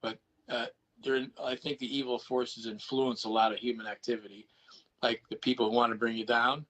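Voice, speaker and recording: A man's voice: medium pitch, little pitch movement, fairly quick, sharp tone, low-quality recording. A man on a video call chatting casually about paranormal investigation, rambling with lots of restarts and repeated words.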